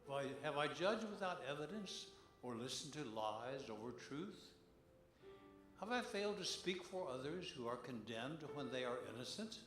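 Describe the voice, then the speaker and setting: Echoey, expressive man giving a solemn reading. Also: on the high side. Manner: earnest, solemn, deliberate. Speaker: reader at a church Good Friday service. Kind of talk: dramatic devotional reading, rhetorical questions. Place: large church sanctuary. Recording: echoey church, music behind.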